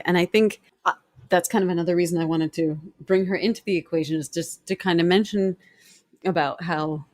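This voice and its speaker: A woman chatting on a podcast panel about game music, upbeat and quick to agree. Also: lowish voice, darkish tone, no room sound, studio quality.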